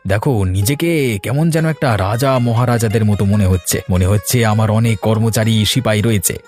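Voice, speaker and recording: A man's voice: fairly low pitch, moderate pace, a little mellow, soft background music, natural voice. A man playing a lazy, boastful grown son in a cartoon, arguing smugly. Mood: dreamy, self-satisfied. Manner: smug, argumentative. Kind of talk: cartoon dialogue acting.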